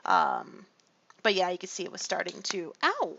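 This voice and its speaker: A woman chatting casually to her livestream viewers while she draws colouring pages. She rambles along with a gravelly voice, lots of fillers and little laughs. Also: lowish voice, sing-song, normal speed, fairly bright tone, highs cut off. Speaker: streamer drawing colouring pages.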